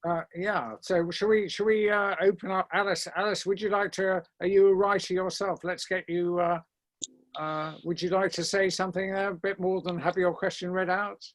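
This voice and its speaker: A man hosting an online event informally, speaking in a hesitant, halting and courteous manner. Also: high voice, level tone, dry recording. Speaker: event host. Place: online video call.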